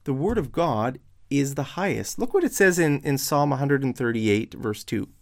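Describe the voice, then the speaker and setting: A man with a hoarse, failing voice giving casual online Bible commentary, posing pointed questions to his audience. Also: medium pitch, lively intonation. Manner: slow and deliberate. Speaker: religious video commentator.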